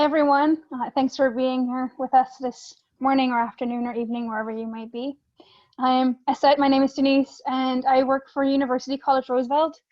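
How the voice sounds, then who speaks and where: A woman's voice: high voice, no echo, muffled recording. A Dutch woman introducing herself as an admissions coordinator on a video call, in a friendly manner. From Netherlands. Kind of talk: webinar presentation.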